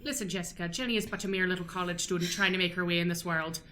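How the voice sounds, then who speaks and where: A woman acts out a cheery, folksy shopkeeper from a comic radio play. Her voice is bright and keen, and she gushes kindly in homely, old-fashioned phrases. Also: on the low side, steady tone, rapid-fire, a little hiss or hum. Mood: chipper, helpful. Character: folksy, cheery woman flower shop owner, eager and kindly. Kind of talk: improvised comedic radio play acting.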